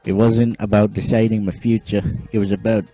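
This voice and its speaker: A man's voice: lowish voice, level tone, a little fast, dark tone, faint music behind, natural voice, poor audio. A man voicing a popular, folksy middle-aged mayor who gives a chatty speech with a slight put-on accent. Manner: chatty, jokey, public-speaking.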